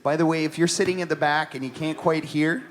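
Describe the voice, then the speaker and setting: A man's voice: on the high side, steady tone, brisk. A man from the Ottawa Valley in Canada hosting a songwriters' circle, chatting casually and reflectively between songs in a small live venue. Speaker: host of a songwriters' circle, musician.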